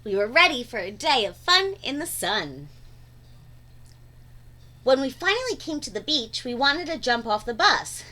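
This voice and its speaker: A woman reading a storybook aloud to children in an expressive, dramatic way, stretching words for emphasis. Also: high voice, measured pace, long pauses.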